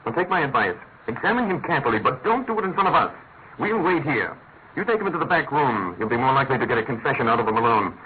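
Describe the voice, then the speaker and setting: An actor playing a shrewd, imperious master detective in a classic radio drama, incisive and urgent. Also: male voice, mid-range voice, sing-song, fast, close to an everyday voice. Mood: commanding. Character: brisk, commanding, shrewd male master detective. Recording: tinny, narrow-band old radio, faint noise.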